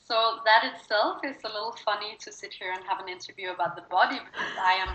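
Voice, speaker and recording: A woman's voice: medium pitch, fast talker, bright, forceful tone, reverberant, highs cut off. A woman with a Danish accent telling a personal story as an interview guest, earnestly and emphatically, repeating phrases for stress. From Denmark. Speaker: interview guest, wellness speaker and coach.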